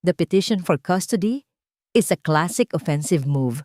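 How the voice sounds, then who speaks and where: Woman acting a sharp, composed female lawyer, animated pitch. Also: no echo, studio quality, lightly acted. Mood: calm, sharp. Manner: deliberate, formal, mixes English legal terms into speech. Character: calm, sharp female lead lawyer, pressing and wary.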